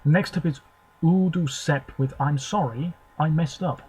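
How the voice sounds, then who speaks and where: A man's voice: on the high side, measured pace, darkish tone, husky. A young man hosting his own video, giving short, hesitant first reactions to songs between clips. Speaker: video host reviewing contest songs. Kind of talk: casual off-the-cuff commentary.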